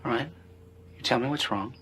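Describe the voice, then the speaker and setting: A direct, no-nonsense film fixer with a bright, very clear tone. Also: male voice, medium pitch, a little fast. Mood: firm. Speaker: film character giving advice. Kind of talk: film dialogue.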